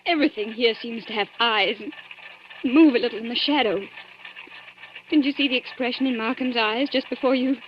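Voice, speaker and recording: An actress in a 1940s radio thriller playing a frightened but quick-thinking accomplice, speaking in a tense, pressing, dramatic way. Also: female voice, a little high, lightly acted. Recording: muffled, noisy 1940s radio recording.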